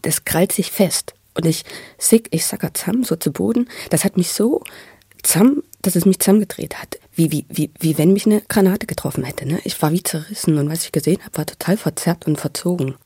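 A man with a husky, unclear voice tells a personal story out loud. He speaks intensely and spontaneously, stumbling and repeating words as he goes. The creaky edge shows in his phrases. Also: fairly high pitch, fairly quick, dry recording, studio quality. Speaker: interviewee telling his life story.

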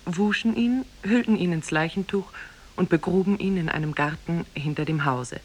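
An actress as the narrator of an Arabian fairy tale, grave and measured in 1950s radio storytelling style. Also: female voice, on the low side. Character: solemn female teller of an Arabian Nights tale. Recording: 1950s radio recording from cassette.

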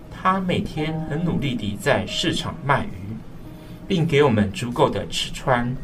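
A man's voice: mid-range voice, recorded from a distance, natural voice. A man playing a sorrowful eldest son, narrating a radio drama reflectively in Taiwanese-accented speech. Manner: reflective, melancholy, measured. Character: sorrowful eldest son recalling his broken family. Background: clear background music.

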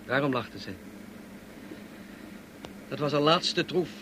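An actor playing a grave, tormented gentleman husband in a radio drama, intense and clipped. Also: fairly high pitch, slow, noisy background. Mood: bitter, certain.

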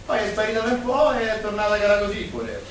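High male voice, actor as plain-spoken Roman working man, colloquial, theatrical, distant recording. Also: fairly even intonation, fast talker, close to an everyday voice. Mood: confiding. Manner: colloquial, emphatic, folksy. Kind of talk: theatrical stage acting in dialect. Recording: distant, very echoey stage recording, faint noise.

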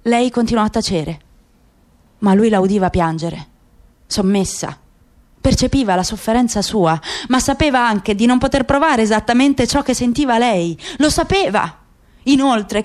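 High-voiced woman narrating a radio drama. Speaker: actress narrating a literary radio play.

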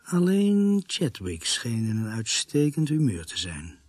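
An actor in a Dutch radio play playing a husky first-person narrator of a crime story, measured and scripted. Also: male voice, medium pitch, wildly swooping pitch, darkish tone, dry recording. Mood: measured, tense.

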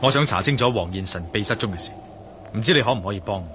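An actor playing an inquisitive, bold male adventurer in a radio drama, with a Hong Kong Cantonese accent and a deliberate, pondering manner. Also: fairly low pitch, animated, background noise, lightly acted. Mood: pondering, determined. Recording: radio drama with clear music behind.

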